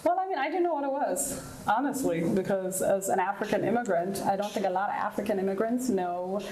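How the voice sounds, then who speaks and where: A woman's voice: medium pitch, expressive, fairly quick, reverberant, a loud crowd. A woman from Ethiopia and South Sudan, whose first language is Nuer, answering interview questions frankly and reflectively, often checking in with "right?". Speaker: guest.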